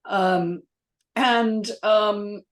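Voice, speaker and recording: Woman panel host, mid-pitched voice, chatty notes, feels her way through each thought. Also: fairly even intonation, extremely slow. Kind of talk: casual spoken feedback.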